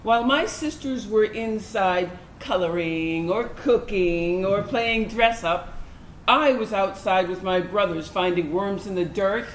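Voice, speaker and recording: A woman acting in a staged reading as a grown tomboy from a big military family, reflecting in measured phrases. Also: mid-range voice, lightly acted. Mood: reflective, fond. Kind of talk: reflective monologue read from a script to an audience. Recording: roomy stage recording with faint noise.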